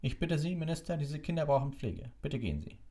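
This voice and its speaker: A man voicing a brisk, indignant, middle-aged female hospital matron in a storybook reading, bossy and scolding. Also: on the high side, animated, warm tone, pitched above the performer's own voice, lightly acted.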